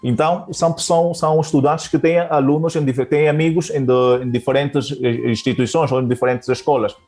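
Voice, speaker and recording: A man's voice: medium pitch, steady tone, brisk, a little mellow, soft background music. A man from Angola, a Portuguese teacher, answering interview questions hesitantly with many fillers and restarts.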